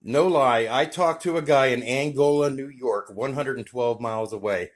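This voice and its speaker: A man's voice: medium pitch, moderate pace. An American man speaks in a mid-range voice. He has a folksy, chatty manner and talks straight to his viewers in an easygoing vlog style, as a radio hobbyist would. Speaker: YouTube vlogger, CB radio hobbyist. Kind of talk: casual vlog talk to camera.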